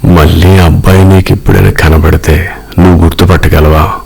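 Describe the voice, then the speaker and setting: A man's voice: sits low, flat delivery, moderate pace, creaky, darkish tone, slightly rough, exaggerated voice. A man voicing a wealthy middle-aged father, earnest and protective, in a cartoon story. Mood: grateful.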